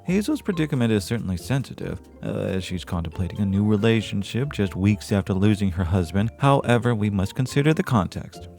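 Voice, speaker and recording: Genteel male podcast host, acted by a man: lowish creaky voice, formal and florid, earnest delivery over faint music. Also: animated, a little mellow. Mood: gentle, solemn. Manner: formal, florid, measured diction. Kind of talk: scripted podcast monologue.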